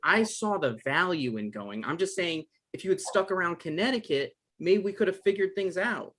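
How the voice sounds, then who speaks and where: A man acting an evasive, awkward novelist in a comedy-drama table read, halting and defensive, with stammers. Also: sits high, animated, fairly bright tone. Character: Michael, evasive, awkward novelist visiting his ex.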